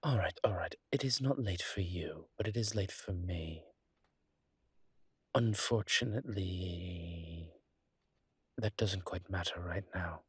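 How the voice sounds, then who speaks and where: A man playing a courteous, wry vampire with formal, hesitant phrasing and a husky voice in an audio roleplay. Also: deep voice, takes it slowly, pauses often, no echo, studio quality, exaggerated voice. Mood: courteous, coaxing. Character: courteous, wry adult male vampire wary of daylight. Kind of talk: one-sided audio roleplay acting.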